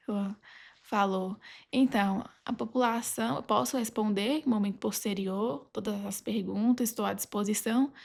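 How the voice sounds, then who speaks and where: Brazilian woman guest on a podcast, highish voice, chatty and a bit halting. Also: gravelly. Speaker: podcast guest, legal advisor. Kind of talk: casual anecdotal conversation.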